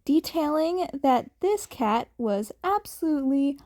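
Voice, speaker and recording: A woman's voice: high voice, animated, a little slow, a little mellow. A woman reading a fan fiction story aloud as scripted audiobook narration. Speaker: podfic narrator.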